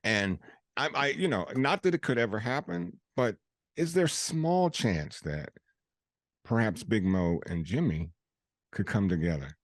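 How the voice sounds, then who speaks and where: A man hosting an interview, talking enthusiastically with many halting restarts and repeated words. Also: fairly low pitch, big pitch swings, no room sound, studio quality. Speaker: interview host. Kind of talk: casual interview chat.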